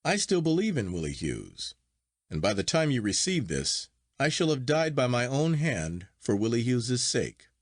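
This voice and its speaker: Man reading as a solemn, low-voiced despairing gentleman. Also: no echo, clean studio sound. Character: despairing male gentleman writing a solemn, reproachful farewell.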